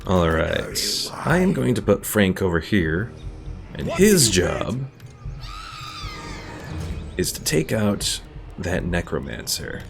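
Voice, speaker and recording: Deep-voiced man streaming a strategy game. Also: big pitch swings, slow, creaky, long pauses. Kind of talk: casual live gameplay commentary, chatting with viewers. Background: game music.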